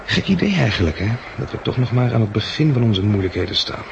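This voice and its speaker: An actor playing a practical but worried husband and survivor in a 1960s Dutch radio drama. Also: male voice, lowish voice, sing-song, fast, creaky. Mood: playful. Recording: dull, noisy 1960s radio recording.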